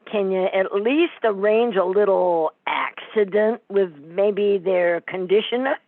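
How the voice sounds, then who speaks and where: Sarcastic mob wife for radio comedy, woman acting: slow, needling delivery, narrow phone-like sound. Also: mid-range voice, no echo. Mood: demanding. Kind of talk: amateur old-time radio comedy play. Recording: thin phone-like sound, dry.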